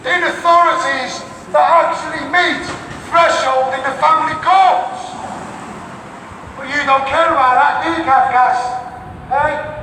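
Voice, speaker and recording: High, echoing Scouse man on a megaphone. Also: bright, forceful tone. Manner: confrontational, taunting with repeated rhetorical questions. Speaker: street campaigner and protester. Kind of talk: megaphone protest speech. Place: outdoors on a London city street outside an office building. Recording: echoey megaphone outdoors, distant, traffic noise.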